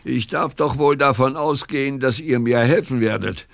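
Male actor as irritable professor, brusque, clipped delivery, audio drama, mid-pitched, very dry sound. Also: moderate pace, creaky, no echo, lightly acted. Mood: curt, defensive. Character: curt, grumpy male professor, easily irritated. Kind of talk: German audio drama acting.

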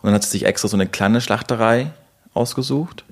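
A man's voice: on the low side, brisk. A man hosting a podcast in a casual, conversational way. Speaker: podcast host.

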